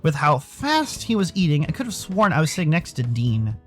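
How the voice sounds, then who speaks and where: Expressive American man narrating, faint music behind. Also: fairly high pitch, a little fast. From Arizona, United States. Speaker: visual novel narrator. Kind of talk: expressive scripted story narration.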